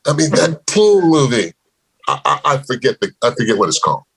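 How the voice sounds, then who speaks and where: A man's voice: mid-range voice, sing-song, a little fast, creaky, fairly bright tone, husky, heavily acted. An actor playing a rattled, hesitant man who fumbles for words in a TV drama script reading. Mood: excited, hesitant. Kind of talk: table read of a TV drama script.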